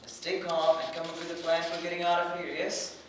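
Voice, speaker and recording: A man's voice: a little high, monotone, a little fast, a little hiss or hum, natural voice. An actor in a staged reading playing an earnest, polite man, an anxious but reasonable peacemaker. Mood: calming. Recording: very echoey room, distant mic.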